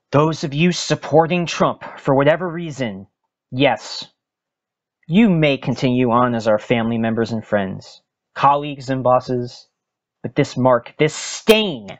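Male podcast host, mid-pitched, solemn and emphatic political oratory, slightly muffled lo-fi sound. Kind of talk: dramatic reading of a political opinion article.

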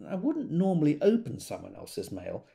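A man's voice: a little high, huge pitch swings, normal speed, vocal fry, dark tone, full character voice. A man playing a polite, formal correspondent voicing a letter in an audio drama.

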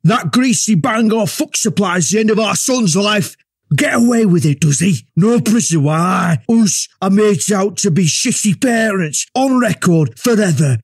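Man playing a husky, fierce, bitter father. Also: sits high, expressive, unhurried, no echo, studio quality, exaggerated voice. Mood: angry, accusing. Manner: fierce, accusing. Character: angry, bitter father, drinking abroad. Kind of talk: audiobook drama acting.

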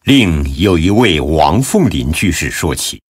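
A man with a deep, husky voice giving solemn, measured and expressive scripted narration of a Buddhist audio drama. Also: no room sound, studio quality.

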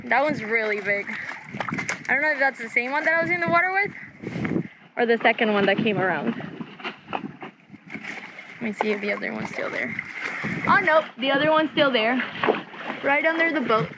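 A young woman vlogging casually from a sailboat deck, chatty and excitable with lots of "like," into a handheld action camera. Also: on the high side, normal speed, long pauses. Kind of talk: casual vlog narration. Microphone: action camera held close. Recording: loud wind and water noise.

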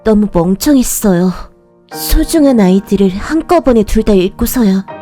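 A remorseful, cursed young mother acted by a woman from a dark fairy-tale webtoon. Her voice is mellow and mid-pitched, with faint music underneath. She confesses softly and politely, full of sorrow and loneliness. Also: lively intonation, moderate pace, natural voice. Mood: sorrowful, regretful.

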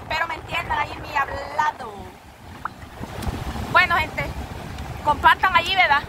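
A woman's voice: high voice, steady tone, a little slow. A woman vlogging a family trip from the back of an open truck, chatting to her viewers on a handheld phone. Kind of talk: casual vlog narration to viewers. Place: open-sided truck on a country road. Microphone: handheld phone camera. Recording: loud wind and engine noise, voices around.

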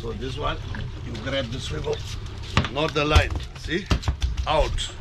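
An older man filming a fishing trip on an open boat, giving excited running commentary and coaching with repeated short calls. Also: mid-range voice, slow pace, vocal fry, loud music behind the voice. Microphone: action camera on board. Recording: noisy boat and sea wind.

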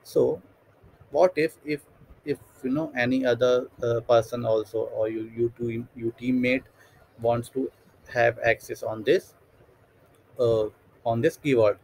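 A man giving a screen-recorded software tutorial, thinking aloud step by step with many fillers and restarts. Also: mid-range voice, fairly even intonation, measured pace, pauses often, rough recording. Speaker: tutorial instructor.

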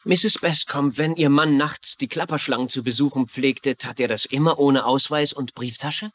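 An actor playing a persistent, polite investigator in a dubbed crime drama, asking probing questions. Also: male voice, medium pitch, steady tone, normal speed, fairly bright tone, natural voice. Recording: faint noise under dialogue.

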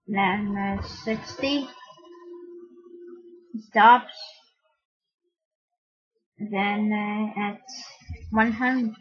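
A tutorial host casually narrating software steps in short, halting fragments. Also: mid-range voice, breathy edge. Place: voice-over for a screen recording. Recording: dull, muffled lo-fi home recording.

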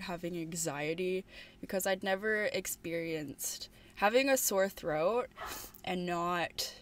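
Sniffly stuffed up young woman at her desk, lowish voice, slow chill chat. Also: slight background noise. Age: young adult. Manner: easygoing, with fillers. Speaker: vlogger. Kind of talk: casual chat to camera. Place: bedroom with a desk, indoors.

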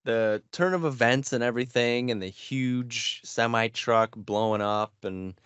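A man's voice: medium pitch, unhurried, fairly bright tone, smooth, no echo. A man in his thirties chatting casually as co-host of a gaming podcast. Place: small home room. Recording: dull, lo-fi dry audio.

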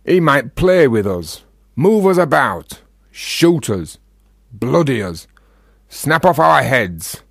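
An actor playing a talkative, teasing Yorkshire freethinker in a radio play, with a rhetorical, provocative manner. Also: male voice, medium pitch, big pitch swings, takes it slowly, creaky, dry recording, studio quality, exaggerated voice. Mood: musing, menacing.

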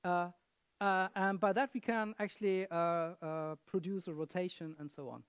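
A woman giving a conference lecture, halting and filling pauses with "uh" and "um", often checking in with the audience with a quick "yeah?". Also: on the low side, fairly even intonation, clean tone, no room sound, clean studio sound. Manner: halting, many fillers, asks the audience "yeah?".

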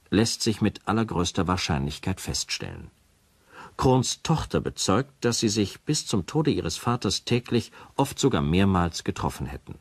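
An actor playing a sober investigator who narrates a radio play in a formal, precise, matter-of-fact way. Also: male voice, sits low, breathy edge, no echo, full character voice. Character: sober male investigator reporting case evidence.

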